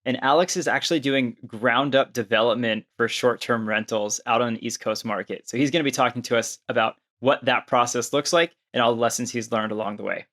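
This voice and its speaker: A man hosts a podcast interview in an easy, conversational style. His voice sits at an average pitch. He sounds upbeat and keen, talking informally with plenty of slang. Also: a little fast, hardly any pauses, a bit bright. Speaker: podcast host.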